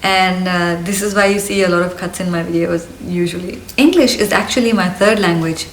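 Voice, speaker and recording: A woman's voice: on the low side, brisk, slight background noise. Woman, 30s, Indian accent, Hindi native. Chirpy and earnest vlogger, recorded in a live room. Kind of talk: personal get-to-know-me vlog. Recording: roomy, slightly distant mic.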